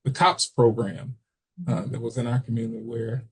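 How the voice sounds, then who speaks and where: An American man from Chicago giving an informal training webinar, explaining haltingly with personal asides. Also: medium pitch, flat delivery, slightly rough, poor audio. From Chicago, United States. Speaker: webinar presenter, substance misuse prevention trainer.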